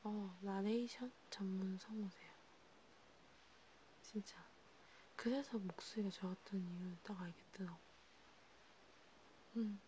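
A woman speaking in a hushed, rambling ASMR style, half whispering, as if chatting one-to-one with a listener. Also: mid-range voice, steady tone, a little slow, pauses often, a little hiss or hum. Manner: hushed, rambling, intimate one-sided conversation. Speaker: ASMR creator. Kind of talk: soft ASMR roleplay chat, partly whispered.

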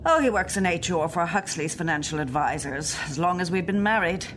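A woman's voice: lowish voice, expressive, a little fast, slight background noise, natural voice. An Irish woman acting as a nervous, hesitant middle-aged wife in a radio play. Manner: hesitant, polite.

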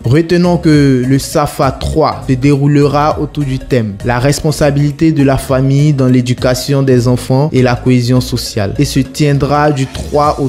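Man with a dark, mellow voice-over. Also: medium pitch. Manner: measured, formal delivery. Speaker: news reporter. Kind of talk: scripted news voice-over.

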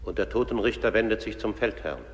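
A man's voice: on the low side, fairly quick, noisy background, natural voice. A man narrating a radio play in a solemn, scripted style. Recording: long hall reverb.

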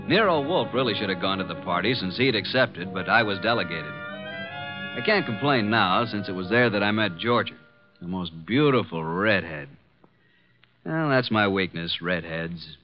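An actor playing a breezy, wisecracking detective's assistant, glib and sarcastic, in old-time radio drama style. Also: male voice, on the low side, lightly acted. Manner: glib, sarcastic, smooth-talking. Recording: muffled vintage radio broadcast.

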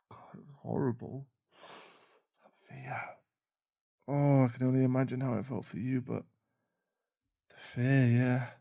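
A man playing a caring, protective boyfriend in an intimate ASMR roleplay, speaking softly and soothingly with halting pauses. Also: mid-range voice, creaky, no room sound. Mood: shaken, anxious. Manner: soft, soothing, halting, sighing. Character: caring, protective, shaken boyfriend comforting a partner. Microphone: close mic.

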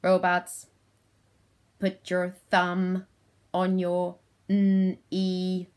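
Woman doing a slow, stilted commanding robot. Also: fairly low pitch, completely flat delivery, darkish tone. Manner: stilted, drawn-out, sounding out words in segments. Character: stiff robot giving children simple commands.

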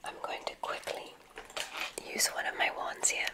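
A woman whispering gently and soothingly in an ASMR roleplay as a kindly witch examiner, breathy and unhurried. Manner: gentle, unhurried, soothing, theatrical word choice with soft repetitions. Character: kindly witch medical examiner at a magic school. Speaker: ASMR performer playing a witch doctor.

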